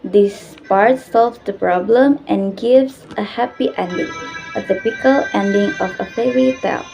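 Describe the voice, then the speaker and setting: A young woman reads a scripted school presentation at a slow pace. Her mid-pitched voice has a noticeable creak, and faint music sits behind it. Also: a little mellow, rough recording. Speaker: student presenter and storyteller.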